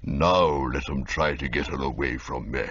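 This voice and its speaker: A man voicing a pompous elderly gentleman who talks in a slow, formal, stately way. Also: very deep voice, slight background noise, pitched below the performer's own voice. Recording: slightly muffled lo-fi audio.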